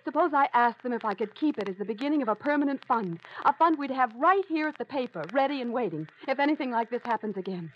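A woman's voice: on the high side, expressive, fast talker, hardly any pauses, slight background noise, lightly acted. Actress as earnest woman reporter, muffled old radio. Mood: eager, hopeful. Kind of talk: old-time radio drama acting.

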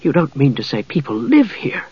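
A man's voice: sits high, fast, breathy edge, dry recording, dull audio, voice pushed up. A man voicing a naive, curious, timid young woman with a hesitant, questioning manner in a fantasy storybook reading. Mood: astonished disgust.

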